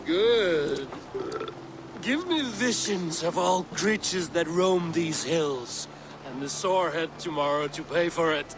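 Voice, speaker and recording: An actor playing a bold, blunt, hard-drinking Norse warrior in a video game, boastful and declaiming. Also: male voice, fairly high pitch, animated, takes it slowly, over music. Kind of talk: dramatic video game acting.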